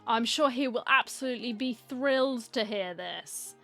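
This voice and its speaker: A woman's voice: fairly high pitch, moderate pace, over music. A woman with a British accent giving casual, dry and cheeky commentary while playing a game. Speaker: game streamer.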